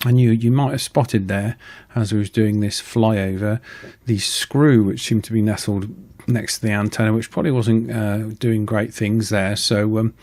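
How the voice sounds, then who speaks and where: A man's voice: fairly low pitch, steady tone, moderate pace, a little mellow. A man giving casual, explanatory repair commentary as a channel host, in an informal, matter-of-fact and somewhat hesitant way.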